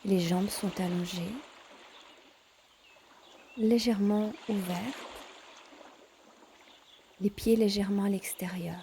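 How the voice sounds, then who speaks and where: A woman teaching yoga in a calm guided instruction style, measured, pausing between short phrases. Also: mid-range voice, background noise.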